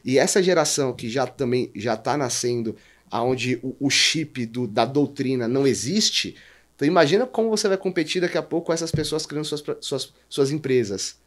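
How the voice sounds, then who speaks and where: A man's voice: medium pitch, expressive, normal speed. A Brazilian man as a podcast guest, discussing business casually and informally, repeating himself for emphasis.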